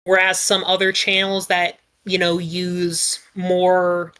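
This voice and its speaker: A woman's voice: fairly low pitch, completely flat delivery, slow pace, a bit bright, clean tone. A woman speaking casually as a podcast panelist, explaining her opinions.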